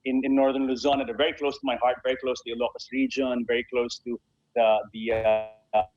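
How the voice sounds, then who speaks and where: A man's voice: mid-range voice, flat delivery, quick pace, a little mellow, no room sound, highs cut off. A man speaking as a guest in a conversational radio interview, emphatic and repeating phrases to stress them. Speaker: interview guest, sports association founder and honorary consul.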